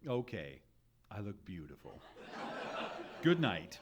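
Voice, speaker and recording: A man's voice: deep voice, very animated, slow pace, background noise, heavily acted. A man playing a grouchy, sleepy, sarcastic henpecked husband in an old-time radio comedy, grumbling exasperated, dry retorts. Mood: weary sarcasm. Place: live theatre stage performance.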